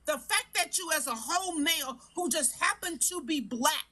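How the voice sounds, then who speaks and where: A woman on a live-stream panel, lecturing in a sharp, sarcastic heated debate. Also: sits high, lively intonation, normal speed.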